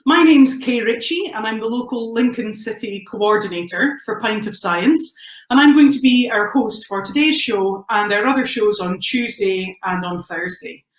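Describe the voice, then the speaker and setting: A woman's voice: fairly high pitch, normal speed, roomy sound. Chatty Scottish woman hosting live. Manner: bright, chatty and welcoming. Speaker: host. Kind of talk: live-streamed event hosting.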